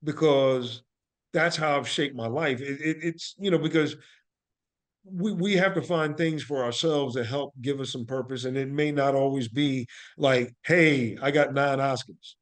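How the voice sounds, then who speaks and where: A man's voice: medium pitch, unhurried. A man giving reflective interview answers as a guest, speaking earnestly and motivationally, repeating phrases for emphasis. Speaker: podcast guest, actor and playwright.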